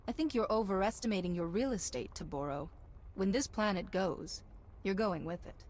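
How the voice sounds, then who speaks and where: A woman's voice: medium pitch, normal speed, close to an everyday voice. An actress playing a resolute, composed female Republic hero and negotiator in a video game. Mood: defiant. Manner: firm, composed, authoritative.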